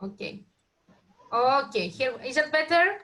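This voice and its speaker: A woman's voice: high-pitched, expressive, measured pace, sharp tone. A woman casually moderating an online reading group as its host, speaking over a video call. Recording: noisy video call with clicks.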